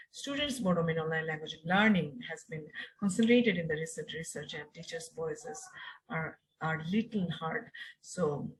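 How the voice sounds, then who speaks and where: A woman with a Bangladeshi accent giving an academic research presentation as a university lecturer, in a halting, word-by-word way. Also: on the low side, poor audio.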